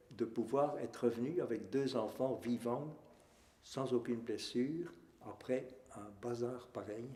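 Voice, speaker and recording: A man, giving a measured and earnest personal testimony as a witness. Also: medium pitch, dark tone. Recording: echoey room.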